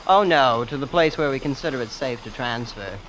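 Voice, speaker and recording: A man acts out a young heir of vast wealth in a vintage radio play. He has an average-pitched voice and tosses off outrageous boasts as if they were nothing, casually ruthless and breezy. The old broadcast recording is clearly noisy. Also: brisk, a bit bright, lightly acted. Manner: nonchalant, understated boasting. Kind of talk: old-time radio drama acting.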